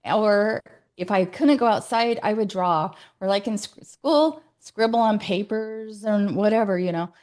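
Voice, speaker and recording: A woman's voice: medium pitch, measured pace, a little mellow. Chatty woman hosting a video call. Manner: chatty, many fillers and restarts. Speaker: host. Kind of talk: casual chat.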